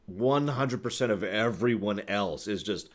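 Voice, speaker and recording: A man's voice: on the low side, unhurried. A man hosting a live stream, chatting casually with viewers in an emphatic, opinionated way full of conversational asides. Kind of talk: casual livestream talk to chat, answering viewer questions. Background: background music.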